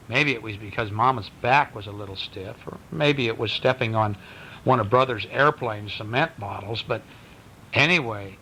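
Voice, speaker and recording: A man reading a bedtime story at home in a conversational, expressive way, with casual asides to a child. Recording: noisy home recording with low rumble. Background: small child chiming in.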